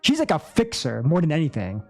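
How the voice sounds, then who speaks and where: Male streamer, casual game commentary, musing, lively intonation, music behind. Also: on the high side, brisk, gravelly. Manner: informal, musing. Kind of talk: casual live reaction commentary.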